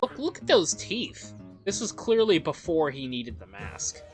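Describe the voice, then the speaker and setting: A woman's voice: sits low, a little slow. A woman chatting casually and jokingly as she hosts a video game let's play. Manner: conversational, animated, chatty. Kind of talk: casual jokey game commentary with acted asides. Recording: game music behind the voice. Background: video game music.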